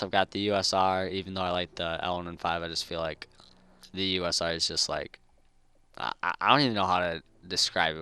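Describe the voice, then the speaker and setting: A man's voice: on the low side, pushed and bright, smooth, no room sound. A teenage man giving casual commentary on his game setups, speech slightly slurred by a dental appliance, sick, rambling with lots of fillers. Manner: rambling, filler-heavy, informal gamer slang. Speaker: competitive gamer and video host. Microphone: gaming headset mic.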